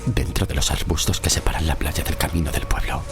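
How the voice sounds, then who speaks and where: An actor from Spain playing a frightened shipwrecked sailor of centuries past, telling his horror tale gravely and haltingly in an audio drama. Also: a bass voice, fairly even intonation, over music. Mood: tense, fearful. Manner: grave, halting, suspenseful delivery. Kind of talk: dramatic first-person horror audio-fiction narration.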